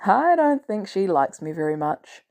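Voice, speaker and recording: Female reader as wisecracking male tech hero in a story, smitten, flippant, relaxed timbre. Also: mid-range voice, a little slow. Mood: casual. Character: witty, lovesick male tech billionaire hero. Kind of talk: storybook character dialogue.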